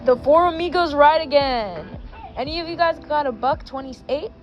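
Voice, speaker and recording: A woman's voice: high voice, moderate pace, muffled recording, soft background music, natural voice. A woman reading the lines of a goofy, cheerful teen boy asking for money. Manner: read in the reader's own voice.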